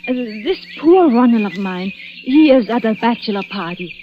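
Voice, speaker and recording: A woman's voice: on the high side, animated, normal speed, a little hiss or hum, close to an everyday voice. A woman with a French accent playing a coy, questioning young Frenchwoman in old-time radio acting. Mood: knowing. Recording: muffled old radio recording.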